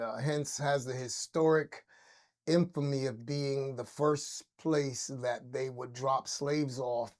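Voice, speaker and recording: An older man from Barbados, an interview guest telling stories in a loose, rambling way with many fillers. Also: medium pitch. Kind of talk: casual interview storytelling.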